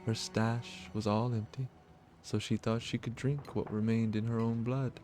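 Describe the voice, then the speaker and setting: A man's voice: mid-range voice, little pitch movement, a little slow, darkish tone, a little hiss or hum, natural voice. A man voicing a creepy, lovesick young noblewoman in a visual novel, clingy and wounded. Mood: haunted, confiding. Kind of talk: visual novel dialogue read aloud.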